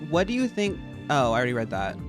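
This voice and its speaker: A man with a high, feminine-sounding voice, chatting casually and rambling to his live-stream audience while he plays. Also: wildly swooping pitch, fast talker, over music. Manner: rambling, chatty, addresses chat as y'all. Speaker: game streamer.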